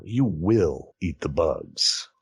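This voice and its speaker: A man's voice: low-pitched, animated, extremely slow, breathy edge. A man hosting a comedy podcast, swearing freely and turning mock-dramatic as he banters and reads aloud. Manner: foul-mouthed, mock-dramatic. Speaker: podcast host.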